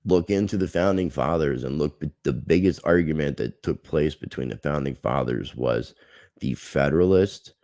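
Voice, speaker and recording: A man's voice: rumbling low, vocal fry, darkish tone, no room sound. A man hosting a livestream, chatting casually and earnestly to his viewers. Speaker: livestream host.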